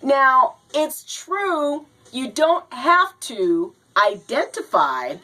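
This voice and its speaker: A woman hosting a video show, slow and halting, a little hoarse from a cold, talking to viewers and reading aloud. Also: high voice, very animated, pushed and bright. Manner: slow, halting, says "you know" and "now". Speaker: host.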